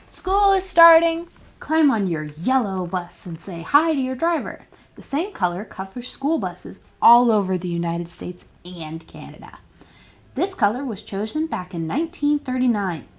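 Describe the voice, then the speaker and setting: Woman reading aloud with lively, swooping pitch. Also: a little slow. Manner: expressive, addressing young listeners with questions. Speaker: children's librarian reading storytime. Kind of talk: read-aloud of a children's picture book.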